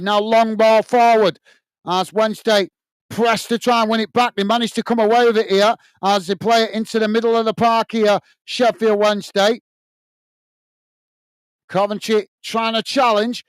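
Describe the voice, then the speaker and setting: A British man with a tiring, slightly hoarse voice giving live play-by-play commentary on a football match for a fan watch-along channel, chatting to viewers as he goes. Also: sits high, moderate pace, no echo. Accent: British English. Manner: steady running commentary, talks to viewers as guys. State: voice tired and going hoarse.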